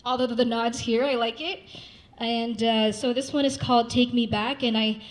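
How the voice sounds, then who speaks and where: A woman's voice: fairly high pitch, steady tone, moderate pace, low-quality recording. A young woman from Saudi Arabia, a guest singer-songwriter, giving a gracious, earnest live introduction on stage at an art gallery event. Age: young adult. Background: faint guitar.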